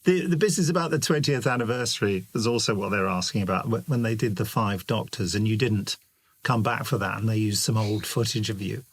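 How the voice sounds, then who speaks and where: A man's voice: fairly low pitch, brisk, slightly rough. A British man interviewing conversationally, reading out questions sent in by fans.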